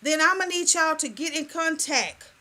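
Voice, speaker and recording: A woman hosts a radio talk show with a high, bright voice. She talks in a soft, playful and sassy way, teasing her guest and chatting with listeners. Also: normal speed. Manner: lively, playful, sassy, calls listeners "girl" and "y'all". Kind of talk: casual radio talk chat.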